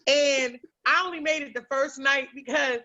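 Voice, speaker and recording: A woman's voice: high voice, normal speed. A woman, telling brash, profane comic stories on a comedy panel. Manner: brash, profane, animated storyteller. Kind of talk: casual comedic storytelling. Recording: lo-fi recording.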